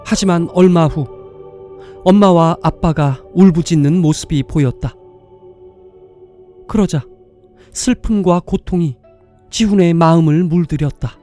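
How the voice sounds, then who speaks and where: A man's voice: on the high side, measured pace, long pauses, dark tone. A man reading scripted story narration as the narrator of a drama. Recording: music behind the voice.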